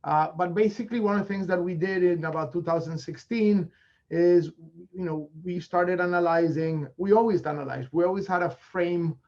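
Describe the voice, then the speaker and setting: A man's voice: high-pitched, moderate pace, darkish tone. Colombian-accented man presenting, lo-fi sound. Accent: Colombian Spanish. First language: Spanish. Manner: frequent fillers and self-corrections. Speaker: real estate investor presenting. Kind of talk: conference presentation.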